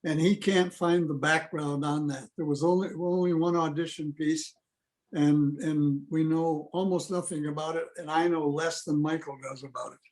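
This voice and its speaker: Man, fairly high voice, informal anecdotes, hesitant phrasing, club presenter, video call. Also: steady tone, moderate pace, darkish tone, low-quality recording. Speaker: club presenter on radio history. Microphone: video call microphone.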